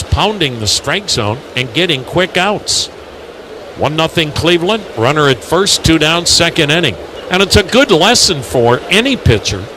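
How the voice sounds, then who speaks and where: American guy doing radio baseball play by play, lots of ballpark noise behind him. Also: a little high. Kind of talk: live radio sports commentary. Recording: radio broadcast over loud ballpark noise.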